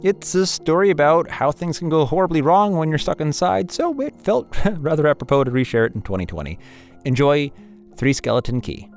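A man's voice: a little high, big pitch swings, fairly quick, a little mellow. A man hosting a podcast, making an enthusiastic, semi-scripted announcement. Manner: upbeat, enthusiastic. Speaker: podcast host and producer. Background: music.

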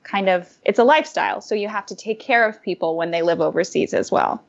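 A young adult woman being interviewed, giving thoughtful, animated answers with fillers and restarts. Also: medium pitch, fairly quick, gravelly. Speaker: guest, former diplomat and food blogger. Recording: muffled lo-fi audio.

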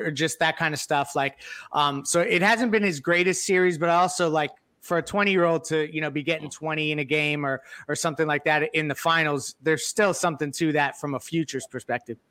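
Guy on a sports panel, voice a bit high and really bright, chatting casually.

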